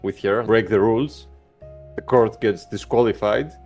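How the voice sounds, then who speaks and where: Male tabletop player, low pitch, conversational strategy talk with quiet music bed. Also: normal speed. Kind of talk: casual game strategy discussion.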